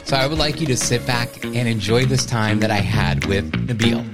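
A man's voice: mid-range voice, steady tone, moderate pace, creaky, loud music behind the voice. Man hosting a podcast interview. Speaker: podcast host.

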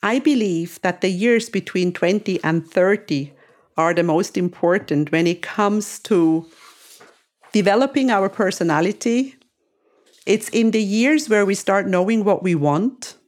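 A woman's voice: mid-range voice, unhurried, a little mellow. A woman in her sixties from Switzerland, hosting a podcast and telling her memories in a reflective, nostalgic way. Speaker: podcast host. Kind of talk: memoir storytelling narration.

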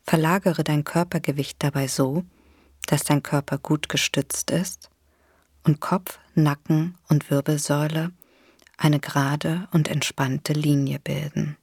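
A woman leading a guided imagery relaxation exercise in a soothing, gentle, unhurried way with long pauses. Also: low voice, fairly even intonation, husky, no echo, clean studio sound. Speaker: guided meditation instructor.

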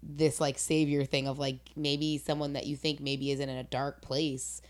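Woman, low register, casual conversational host, earnest, deliberate, opinionated. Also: flat delivery.

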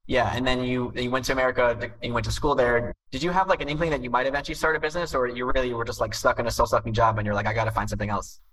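A man hosting an interview, asking his guest quick, friendly questions. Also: mid-range voice, fairly even intonation, a bit bright. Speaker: podcast host. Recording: distant mic with faint background noise.

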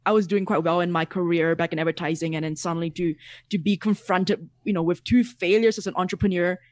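A man in his thirties from Singapore with a Singaporean accent, chatting casually as a podcast guest over a video call, animated and quick to laugh. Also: high-pitched, dry recording, clean studio sound. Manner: animated, laughs often, repeats words. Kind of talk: casual interview chat.

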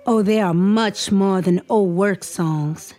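A woman's voice: mid-range voice, slow, warm tone, natural voice. An actress playing an eager, spirited young woman writer in an audio drama, polite and persuasive as she seeks patronage. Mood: earnest, persuasive.

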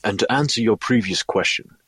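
Stately alien coach, deep husky voice, formal n encouraging, audio drama. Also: male voice, a bit bright, no room sound. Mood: instructive. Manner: formal, measured.

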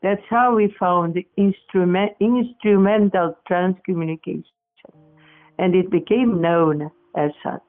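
A speaker from Portugal, answering interview questions in a halting way, often restarting and correcting words. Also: medium pitch, steady tone. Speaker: experimenter in spirit communication. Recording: tinny phone-like audio, faint music.

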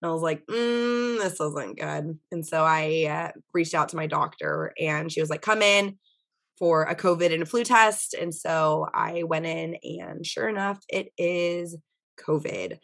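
A young woman with a hoarse, nearly gone voice, chatting casually as a podcast co-host and telling a story with lots of fillers. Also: sits low, measured pace, a bit bright. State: hoarse, voice mostly gone from illness. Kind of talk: casual chat.

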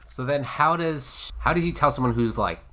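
A woman's voice: a bass voice, moderate pace, fairly bright tone. A woman hosting a podcast, chatting casually, laughing often and trailing off mid-sentence. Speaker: podcast host.

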